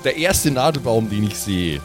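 A man's voice: on the low side, big pitch swings, normal speed. A man improvising in-character banter as a player on a tabletop roleplay podcast. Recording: clear music playing behind the voice. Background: music.

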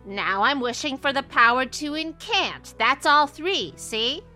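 A woman's voice: sits high, expressive, measured pace, pushed and bright, soft background music, heavily acted. An actress playing a blunt, cranky, vengeful old witch in a comedy horror radio play. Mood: matter-of-fact. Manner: blunt, dramatic, scolding. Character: cranky old witch woman, vengeful, foul-mouthed homeowner.

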